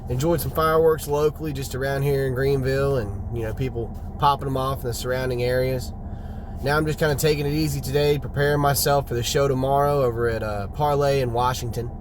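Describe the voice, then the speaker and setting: A man's voice: medium pitch, steady tone, fast, background noise. A man with a Southern US accent, a traveling musician chatting in an easygoing, rambling way for his video diary. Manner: easygoing, rambling with small restarts.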